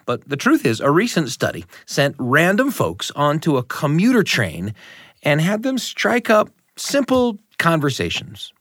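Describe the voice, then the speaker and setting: Animated male host, very lively intonation. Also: mid-range voice, dry recording, studio quality. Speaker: radio show host. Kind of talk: scripted, conversational story-show introduction.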